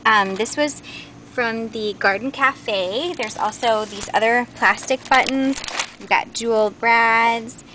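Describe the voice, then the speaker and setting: A woman's voice: on the high side, slow pace, noisy background. A woman giving a casual, unscripted product walkthrough as host, chatty and informal, on a handheld camera in a warehouse. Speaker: host showing new craft store products. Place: large indoor warehouse aisles. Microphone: handheld camera's built-in mic.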